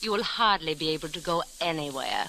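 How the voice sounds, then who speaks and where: A woman's voice: low voice, expressive, fairly quick, creaky. An actress playing a cool, threatening female spymaster in an old radio drama, precise, formal and imperious. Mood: cool, commanding. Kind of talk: 1940s radio detective drama acting. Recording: old radio recording with faint hiss.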